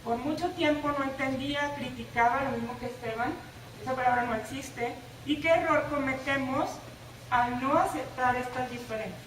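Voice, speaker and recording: A woman's voice: high-pitched, normal speed, slight background noise. A woman from the Laredo border with a northern Mexican Spanish accent, giving an interactive talk to teachers and often asking them "¿verdad?". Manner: engaging, asks the audience questions, tag "¿verdad?". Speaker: presenter at a teacher workshop. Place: lecture room. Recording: echoey room, from far off.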